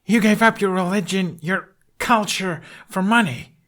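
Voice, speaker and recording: A desperate, defiant teenage boy played by a male narrator in a storybook adventure. His voice jumps high and loud as he cries out with impassioned force, pleading for his dragon. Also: measured pace. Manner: yelling, crying out, impassioned. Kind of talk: audiobook character acting.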